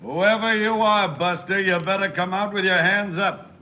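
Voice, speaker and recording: High-pitched, commanding gangster boss played by a man. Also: steady tone, normal speed, dull audio, lightly acted. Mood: menacing. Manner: loud, commanding. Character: threatening male gangster boss hunting an intruder with guns. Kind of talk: old-time radio drama acting. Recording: band-limited old radio recording.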